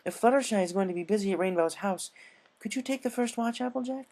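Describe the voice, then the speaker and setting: Man doing a high-voiced, refined unicorn lady. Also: fast, a little mellow. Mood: eager. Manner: polite, earnest. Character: refined, eager female unicorn pony.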